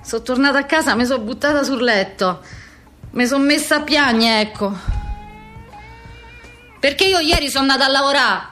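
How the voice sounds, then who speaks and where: A woman's voice: sits high, normal speed, long pauses, bright, forceful tone, faint music behind. A woman playing a brash, foul-mouthed young street girl with a Roman accent, in a blunt, agitated theatrical monologue. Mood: agitated, indignant.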